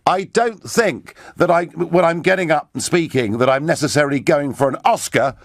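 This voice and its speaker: A man's voice: a little high, normal speed, no room sound, studio quality. A man hosting a radio phone-in, pressing callers on their views with a teasing, assertive manner. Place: radio studio.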